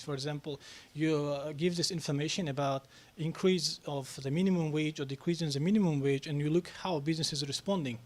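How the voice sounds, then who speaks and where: A man answering questions on a press club panel, talking haltingly with many fillers and restarts. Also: on the high side. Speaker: panel speaker on national reforms.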